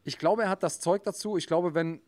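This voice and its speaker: A man co-hosts a podcast and explains his view in long, casual turns. He thinks aloud and hesitates with fillers. His voice is a bit higher than most men's. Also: fairly quick, darkish tone. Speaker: podcast co-host. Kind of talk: casual chat.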